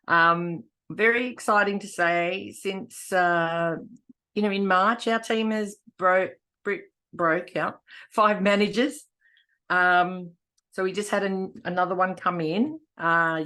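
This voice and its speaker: A woman's voice: fairly low pitch, a bit bright. A middle-aged woman with an Australian accent giving an informal team update in a loose, rambling way with many restarts.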